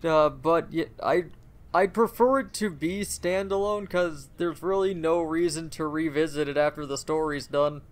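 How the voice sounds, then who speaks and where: A man with a somewhat high, very clean and smooth voice co-hosts a podcast. His casual talk is understated and matter-of-fact. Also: moderate pace. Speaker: podcast co-host. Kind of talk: casual chat.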